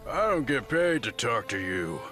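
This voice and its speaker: A man playing a blunt, bored biker raider turned bodyguard in a video game, curt and deadpan. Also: mid-range voice, moderate pace, soft background music. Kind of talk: video game dialogue acting.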